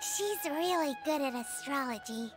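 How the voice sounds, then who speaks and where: A woman voicing a tiny childlike fairy companion in a video game, squeaky, blunt and inquisitive. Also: animated, a little slow. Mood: eager, curious. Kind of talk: video game character acting. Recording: background music under dialogue.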